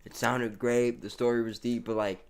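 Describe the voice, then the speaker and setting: A man's voice: medium pitch, flat delivery, normal speed, clear, resonant tone. A young American man chatting loosely on a live gameplay stream, rambling in slang with lots of restarts while reading chat. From United States. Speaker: livestreamer.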